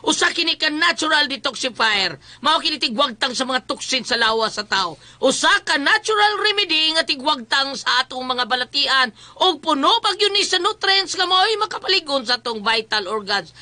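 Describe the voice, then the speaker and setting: Female co-host, Cebuano accent, high and bright, dry sound, chatty with ad reads. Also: animated, moderate pace, few pauses, no room sound. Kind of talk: casual chat and advert reading.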